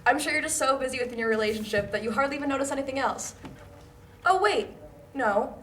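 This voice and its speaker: Brazen, passive-aggressive new girl at college read by a woman, quick and highish, faint noise. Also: a bit bright. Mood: mock sweet. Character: brazen, passive-aggressive female transfer student. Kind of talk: amateur table read of drama script. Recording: faint noise, amateur room recording.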